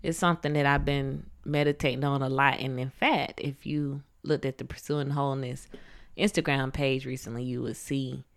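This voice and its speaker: A woman with a low, warm-toned speaking voice co-hosts a relaxed faith podcast. She banters and laughs easily, then reads Bible passages aloud. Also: moderate pace. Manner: playful, laughs often, reads scripture aloud. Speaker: podcast co-host. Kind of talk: casual faith podcast chat and scripture reading.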